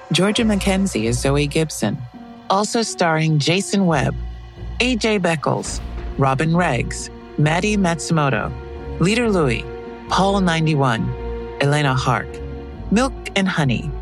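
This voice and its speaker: A man reading scripted end credits as the announcer of an audio drama. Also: a little high. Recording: music bed under voice. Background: music.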